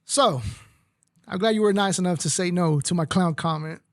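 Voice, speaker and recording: A man's voice: high voice, moderate pace, dry recording, clean studio sound. A man born in Mexico who grew up speaking Spanish hosts a casual talk show. He speaks bluntly and heatedly, with plenty of profanity. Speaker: podcast host. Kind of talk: casual chat.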